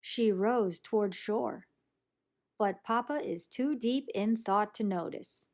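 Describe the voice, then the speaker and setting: A woman reading a children's storybook aloud in an expressive, animated way that acts out the action. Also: medium pitch, takes it slowly. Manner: animated, acts out sound effects and character lines. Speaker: storybook reader.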